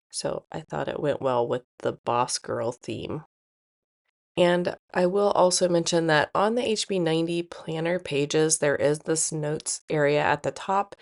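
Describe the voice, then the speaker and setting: Congested, creaky low-voiced woman vlogger. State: congested, losing voice. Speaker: planner channel host. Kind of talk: casual plan-with-me voice-over.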